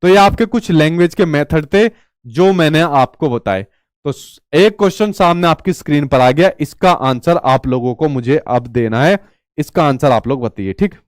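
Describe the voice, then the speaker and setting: A man's voice: a little high, normal speed, dry recording, clean studio sound. A male teacher giving an exam-prep lecture in a chatty way, asking rhetorical questions and telling stories.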